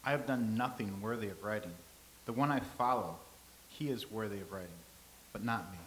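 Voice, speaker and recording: A man in a staged reading playing a humble, earnest follower of Christ from Nazareth, modest and measured. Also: lowish voice, fairly bright tone, natural voice. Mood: humble, puzzled. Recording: hissy, clearly noisy recording.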